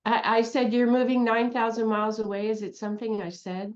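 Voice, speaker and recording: A middle-aged woman talks as a guest coach on a podcast, animated and good-humored, with easy laughter in her voice. The sound is dull and lo-fi, with the highs rolled off. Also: brisk, background noise. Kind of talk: casual interview chat.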